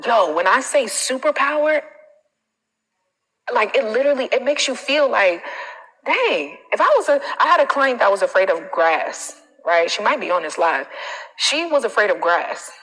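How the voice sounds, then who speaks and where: An American woman giving casual livestream coaching in a blunt, animated, colloquial way. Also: a little high, moderate pace, slightly rough. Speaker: self-confidence coach. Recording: reverberant, echoing room sound.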